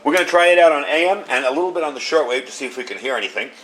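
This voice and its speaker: A man's voice: fairly high pitch, racing, faint music behind, rough recording. A man giving a casual hands-on gadget review, explaining things step by step in an informal way. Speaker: product reviewer. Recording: clear steady background noise.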